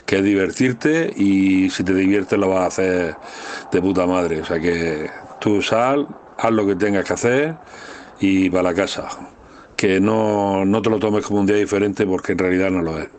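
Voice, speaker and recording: An affectionate father acted by a man leaving a casual message. His voice is low and his manner reassuring. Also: normal speed, darkish tone, slight background noise, natural voice.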